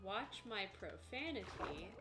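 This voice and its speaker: A woman's voice: medium pitch, sing-song, takes it slowly, music in the background. A tired woman streamer chatting casually with viewers, joking bluntly and dryly.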